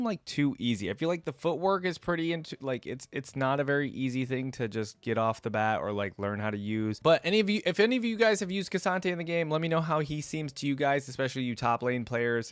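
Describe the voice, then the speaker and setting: A man's voice: medium pitch, no room sound. A man giving casual, off-the-cuff reaction commentary as host of a reaction channel. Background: music and video audio.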